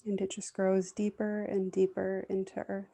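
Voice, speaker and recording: A woman leading a guided meditation in a gentle, soothing, unhurried way, leaving long pauses. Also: mid-range voice, monotone, creaky.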